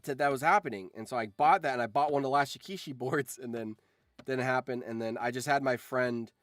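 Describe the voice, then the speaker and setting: A man's voice: medium pitch, a little fast, a bit bright, dry recording. A man chatting casually on a livestream, telling anecdotes in an informal, sweary, enthusiastic fan's way. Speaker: streamer and voice actor.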